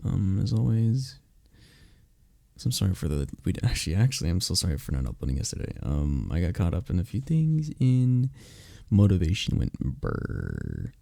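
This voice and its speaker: A man with a husky, gravelly voice chatting casually to his listeners in an apologetic, encouraging, rambling way. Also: low voice, wildly swooping pitch, normal speed, warm tone, dry recording, clean studio sound. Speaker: audio roleplay creator.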